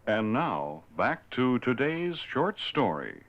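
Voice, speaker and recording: A man announcing for a radio program in a measured, formal manner. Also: mid-range voice, very animated, fairly bright tone. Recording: muffled old radio broadcast.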